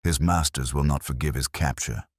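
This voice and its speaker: A man's voice: very deep voice, normal speed, dry recording, clean studio sound. A man voicing a shrewd, commanding Victorian detective in an audiobook, speaking formally and incisively. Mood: measured, resolute. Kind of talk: dramatic audiobook character reading.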